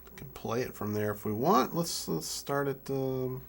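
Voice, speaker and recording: A young adult man giving an informal hands-on tutorial, speaking haltingly as he thinks aloud while working. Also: mid-range voice, lively intonation, gravelly. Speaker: music keyboard tutorial presenter. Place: indoor room with draped backdrop.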